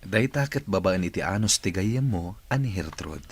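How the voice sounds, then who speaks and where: An actor playing a stern, wealthy boss in a radio drama, with a husky voice. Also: male voice, low-pitched, lively intonation, moderate pace, exaggerated voice. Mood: surprised, friendly. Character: wealthy male boss recovering from paralysis, stern.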